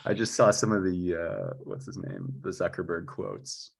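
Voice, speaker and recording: A man in an informal chat about music production, tentatively working through his ideas out loud. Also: low voice, sing-song, moderate pace, gravelly, slightly rough. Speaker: composer for audio drama.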